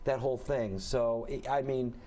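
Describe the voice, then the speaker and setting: Animated man in his forties telling stories. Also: medium pitch. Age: 40s. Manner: animated storytelling, quick restarts. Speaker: guest comedian. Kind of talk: casual radio chat.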